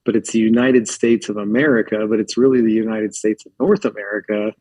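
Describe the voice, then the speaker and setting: Guest from Philadelphia. Man with a husky, dry voice who rambles through casual stories. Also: on the low side, fairly even intonation, a little fast, dark tone, no room sound. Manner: rambling, filler-heavy, uses lots of likes. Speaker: podcast guest. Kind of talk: casual storytelling chat.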